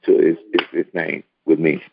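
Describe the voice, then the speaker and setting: An older man giving a casual, earnest testimony over the telephone in a gravelly, husky voice, repeating words for emphasis. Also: rumbling low, flat delivery, normal speed, no room sound. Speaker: guest giving a personal testimony. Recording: tinny narrowband phone line.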